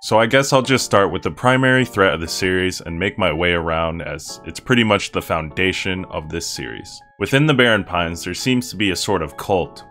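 Young male video essayist, low register, casual scripted read with a joking, irreverent edge. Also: fairly bright tone. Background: music with guitar under the voice.

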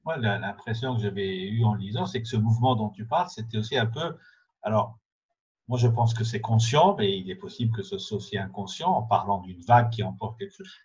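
Very raspy man on a dull line. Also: lowish voice, expressive, a little fast, darkish tone. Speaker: host. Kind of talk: online literary conversation. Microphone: video call. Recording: dull lo-fi video call audio.

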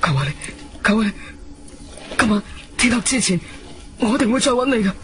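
An actor playing a frightened, timid young man with a Cantonese accent, pleading in halting, repeated phrases in a radio drama. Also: sits high, lively intonation, a bit bright. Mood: panicked pleading.

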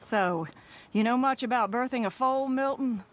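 A woman's voice: a little high, moderate pace, a little hiss or hum, natural voice. An actress playing a brusque, sardonic American ranch wife and mother in a western video game, blunt and bossy. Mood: brisk, sardonic. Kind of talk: naturalistic video game cutscene acting.

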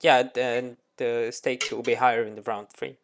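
A woman's voice: a bass voice, level tone, moderate pace. A woman hosting a casual online quiz game over voice chat, often stumbling and restarting her words. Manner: stammering restarts, chatty. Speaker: quiz host.

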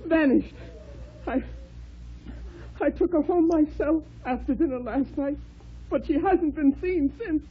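Anxious, high-voiced gallant spoon. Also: female voice, unhurried, long pauses. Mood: anxious, urgent. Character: anxious, gallant talking salad spoon, male. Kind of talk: old-time radio melodramatic acting. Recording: tinny old radio recording.

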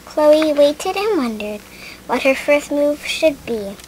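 A female reader giving an expressive, sing-song reading of a rhyming children's picture book. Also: high voice, slow, slight background noise, rough recording. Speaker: storybook reader.

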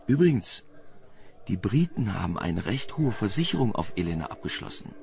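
A man's voice: fairly low pitch, sing-song, normal speed, vocal fry, darkish tone. An actor playing a cheeky, flirtatious male reporter in a wry, teasing manner in a radio crime drama.

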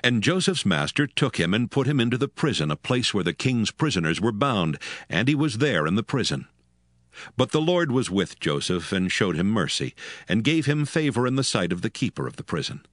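A man narrating scripture in a solemn, formal, measured storytelling style. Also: on the low side, very animated, fairly bright tone, no echo, clean studio sound.

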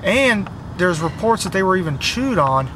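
A man playing a young camper with a notably high voice who talks about gory rumors in an offhand way. Faint noise sits behind him. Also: very animated, moderate pace. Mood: casual. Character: young man camping, later bitten. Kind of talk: amateur film acting.

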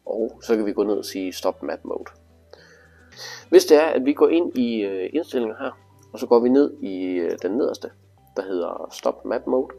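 Guy doing a casual how-to, lowish raspy voice, explains step by step. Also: expressive, mellow, soft background music. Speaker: instructor demonstrating motorcycle display. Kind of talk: hands-on tutorial narration, casual.

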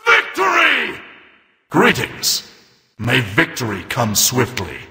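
An actor playing a grim, battle-hungry robotic reaper warrior in a video game, barking short, commanding callouts. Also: male voice, mid-range voice, huge pitch swings, takes it slowly, in a large hall, full character voice. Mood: grim, controlled. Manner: forceful, barked short commands.

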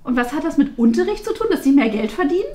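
Pointed, needling schoolteacher for comedy played by a woman, very high lively voice, faint music underneath. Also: fast. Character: snippy, pointed teacher colleague. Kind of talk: improvised comedy sketch acting.

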